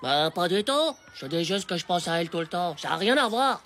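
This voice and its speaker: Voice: medium pitch, big pitch swings, fairly quick, fairly bright tone, a little hiss or hum. A performer voicing a cocky, easily flustered ten-year-old schoolboy in a cartoon, slangy, stammering and defensive. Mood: lovestruck, denying. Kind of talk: animated cartoon dialogue.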